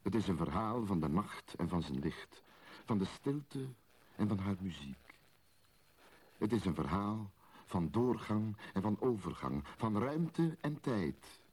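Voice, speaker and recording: An actor playing a solemn storyteller of a mystical legend, narrating poetically and speaking straight to the listener in a radio play. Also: male voice, lowish voice, big pitch swings, unhurried, a little mellow, breathy edge, heavily acted. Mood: solemn, mysterious. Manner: solemn, incantatory, addressing the listener directly.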